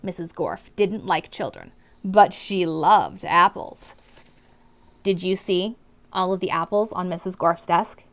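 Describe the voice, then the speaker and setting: A woman teacher reading aloud to children in an expressive storytime style, stressing key words dramatically and putting questions to her listeners. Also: on the low side, a little slow.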